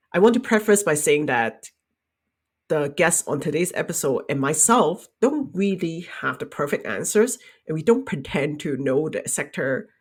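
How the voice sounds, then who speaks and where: Woman, young adult, podcast host, earnest, measured, husky, lively pitch. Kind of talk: podcast intro and interview.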